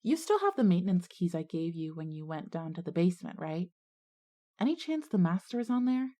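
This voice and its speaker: Low-voiced schoolgirl leader. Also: sing-song, brisk, mellow. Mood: decisive. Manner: decisive, organizing. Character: resourceful, take-charge schoolgirl narrator and group leader. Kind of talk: audio drama dialogue and first-person narration.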